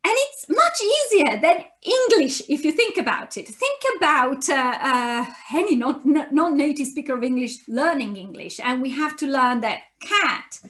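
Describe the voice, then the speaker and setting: A woman with a clear Italian accent teaches a beginner class over a webcam from home. Her voice sits high, and she takes her time, spelling out each sound patiently so that learners can repeat after her. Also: animated, a little slow, few pauses, a bit bright. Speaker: university Japanese lecturer. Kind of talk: recorded online lesson.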